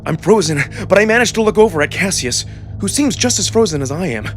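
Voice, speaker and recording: A man giving a suspenseful, expressive first-person narration of a dramatic story. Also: sits high, fast. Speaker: narrator and voice performer. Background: background music.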